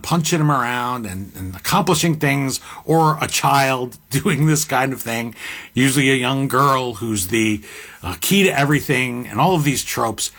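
Deep-voiced male host, musing. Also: a bit bright, breathy edge. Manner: conversational, musing, with asides and restarts. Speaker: podcast host. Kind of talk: reflective monologue.

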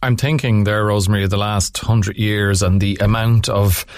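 Guy hosting a radio show, lowish voice, dry studio sound. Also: steady tone, a little mellow, no echo. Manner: measured, conversational questioning. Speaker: radio host. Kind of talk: radio interview.

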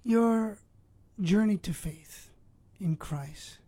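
A woman's voice: medium pitch, drawn-out words, dark tone, no echo. A woman hosting an interview, asking probing follow-up questions. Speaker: host.

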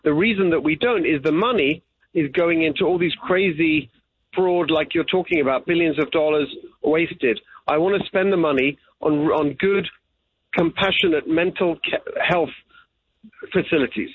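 A man's voice: on the high side, normal speed, husky, no echo. A man from England, answering interview questions earnestly and repeating his key points. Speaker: political candidate. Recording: tinny phone line.

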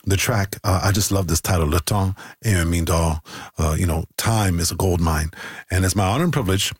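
Deep husky male radio host. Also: a little fast, dry recording, clean studio sound. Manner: engaged, chiming in often. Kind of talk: radio interview. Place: radio studio.

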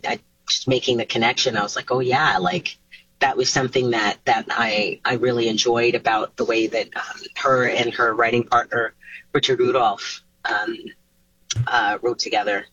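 A woman, a singer taking part in an interview over a video call, answering casually with animated pitch swoops and many restarts. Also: moderate pace, breathy edge, dry recording. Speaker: singer, guest. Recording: dull, dry video call audio.

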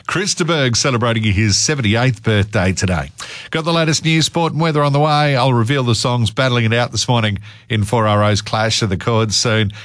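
A man's voice: medium pitch, brisk, a bit bright, no echo. A man, hosting morning radio and chatting in an upbeat way. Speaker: radio host.

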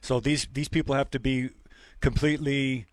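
A man's voice: medium pitch, level tone, normal speed, no room sound. Guy hosting a talk show, blunt and opinionated, dry close sound. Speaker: host.

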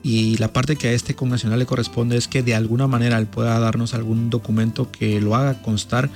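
A man's voice: mid-range voice, flat delivery, brisk, a little mellow. A man, speaking as a consulate official in a radio interview, explaining things formally and patiently. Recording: faint music behind.

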